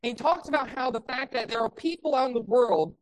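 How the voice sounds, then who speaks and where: Woman pastor, fairly high voice, loud and impassioned in the pulpit, hits emphatic peaks. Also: expressive, fairly quick. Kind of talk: sermon.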